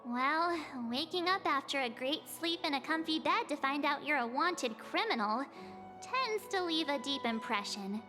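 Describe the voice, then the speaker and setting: A woman voicing a perky, easily flustered teenage girl adventurer in a video game, chatty and expressive. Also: sits high, normal speed. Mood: chatty, wry. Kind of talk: acted video game dialogue. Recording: reverberant, with music behind.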